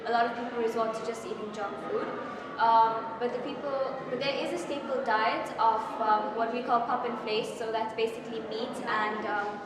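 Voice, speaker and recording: A woman's voice: a little high, steady tone, moderate pace. A young woman casually explaining local diet and junk food. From South Africa. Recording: echoey hall, distant mic, crowd.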